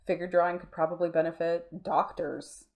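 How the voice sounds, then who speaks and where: A woman speaking conversationally in an interview, explaining earnestly and haltingly, with many filler words. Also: fairly low pitch, flat delivery, a little mellow. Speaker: interview guest, art studio owner.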